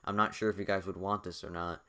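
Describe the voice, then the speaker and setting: Young man, very low pitch, voice worn out and hoarse, loose rambling delivery. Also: little pitch movement, quick pace, sharp tone. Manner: rambling, repeats himself, many fillers. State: losing his voice. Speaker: gaming YouTuber. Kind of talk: casual video commentary.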